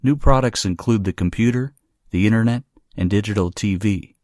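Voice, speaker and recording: A man narrates prepared passages in a low voice. The sound is dry, with no room echo, and he reads in a measured, formal way. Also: darkish tone. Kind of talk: scripted read-aloud of short exam passages.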